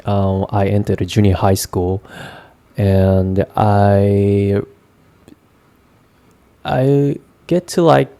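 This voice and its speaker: A young Japanese-accented man in his twenties talks through his own story in a casual vlog, pausing often with fillers and restarting words. His voice sits low and fairly even in pitch, with a dark, mellow tone. The sound is dry and close. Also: no room sound. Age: 20s. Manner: hesitant, many fillers and restarts. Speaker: vlogger.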